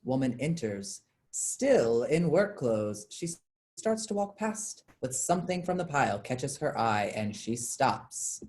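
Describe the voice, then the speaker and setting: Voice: mid-range voice, very animated, unhurried, a little mellow. A narrator reading scripted stage directions for a staged play reading.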